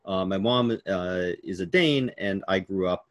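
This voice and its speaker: A man in his sixties giving a halting, storytelling answer in an informal virtual interview, with many fillers and the odd laugh. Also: low voice, expressive. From United States. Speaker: keynote speaker. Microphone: video call.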